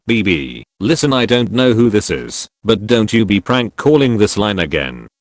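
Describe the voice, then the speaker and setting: A weary auto shop boss takes a complaint over the phone, patient and matter-of-fact, as a man reads him. His voice sits low and dry. It moves up and down as he talks. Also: very animated, normal speed, no echo.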